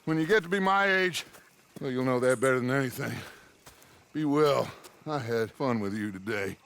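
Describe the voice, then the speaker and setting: An actor playing a charismatic, boastful, aging Wild West gang leader in a video game, with a grandiose, theatrical delivery. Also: male voice, mid-range voice, moderate pace, fairly bright tone, close to an everyday voice. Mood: warm, reflective. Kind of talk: video game drama acting.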